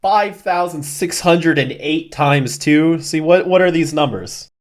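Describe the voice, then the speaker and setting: A man's voice: fairly high pitch, unhurried, a little hiss or hum. A man hosting a casual cartoon breakdown, talking conversationally with wry joking asides. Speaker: host of a cartoon episode breakdown. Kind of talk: casual commentary on a cartoon episode.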